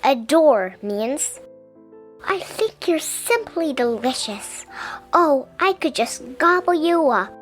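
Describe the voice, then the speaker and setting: A woman reading a children's picture book aloud in a gentle, deliberate, expressive way. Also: high voice, faint music behind. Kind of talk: children's storybook read-aloud.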